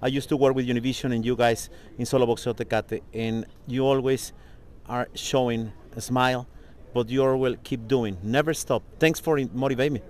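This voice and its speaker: A man's voice: medium pitch, steady tone, moderate pace, a little mellow. A man, a native Spanish speaker, interviewing in English with an effusive, emotional manner and frequent laughs. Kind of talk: interview questions.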